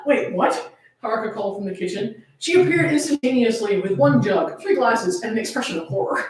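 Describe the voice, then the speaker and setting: A high-voiced woman reads a comic story aloud with theatrical flair and lively swings in pitch. She drops in jokey asides as she goes. The sound is very echoey, as if recorded away from the microphone. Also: brisk. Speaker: fan fiction reader and host. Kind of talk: dramatic comedic story reading with banter. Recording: roomy, echoing, distant mic with noise.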